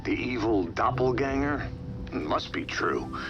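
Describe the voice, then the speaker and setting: An actor playing a blunt, wry federal investigator in a video game. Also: male voice, medium pitch, expressive, unhurried, lo-fi sound, over music, natural voice. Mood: wry, concerned. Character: gruff, sardonic male federal investigator, protective partner. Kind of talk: cinematic video game acting.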